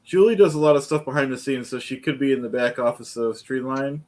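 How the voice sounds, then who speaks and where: A man with a Northern American accent hosting a livestream pre-show in a relaxed, laid-back way over a video call. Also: mid-range voice, brisk. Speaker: livestream host. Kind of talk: casual chat on a video stream.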